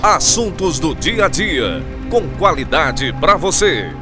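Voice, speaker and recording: A man's voice: on the high side, very animated, takes it slowly. A man with a Brazilian accent reading a scripted channel slogan as an announcer. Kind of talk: scripted channel jingle voice-over. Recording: loud music behind.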